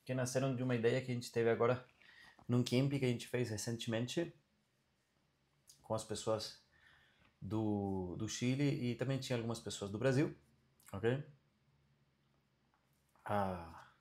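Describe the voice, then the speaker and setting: A man teaching a casual online class on Vedic studies and Ayurveda over a video call, relaxed and often checking in with his listeners. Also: medium pitch, unhurried, pauses often.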